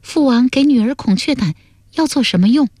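Young princess female performer, historical audiobook: high, plaintive, earnest devoted daughter with lively swings in pitch. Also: a little fast, husky, no echo, clean studio sound. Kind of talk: dramatised Chinese historical audiobook.

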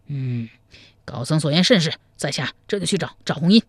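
Anxious young suitor played by a man, rushed and pleading, very animated pitch. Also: slightly rough, dry recording, clean studio sound. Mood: fretful. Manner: quick, fretful pleading. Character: anxious young male suitor, gullible, hot-tempered. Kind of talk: storytelling, acted dialogue.

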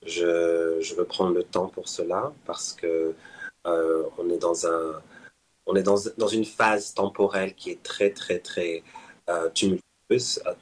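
A man with a husky voice answering as a panel guest, deliberate and hesitant. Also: low voice, little pitch movement. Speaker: interview guest, writer. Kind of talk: unscripted panel interview answer.

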